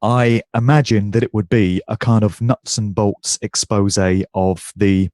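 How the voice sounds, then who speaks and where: A man giving a talk as a guest speaker, congested and croaky but speaking fluently over a video call. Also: lowish voice, steady tone, unhurried, darkish tone, no room sound, studio quality. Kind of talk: presentation.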